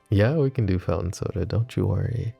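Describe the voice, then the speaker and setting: A man playing a flirty, possessive mafia boss who is smitten and vulnerable, speaking in soft, teasing, intimate ASMR roleplay. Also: sits low, normal speed, faint music behind, exaggerated voice. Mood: warm, flirty. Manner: soft teasing banter with breathy chuckles.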